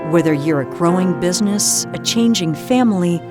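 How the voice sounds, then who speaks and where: Lively low-pitched woman reading a commercial. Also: moderate pace, music in the background. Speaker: law firm advertisement narrator. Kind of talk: scripted commercial narration.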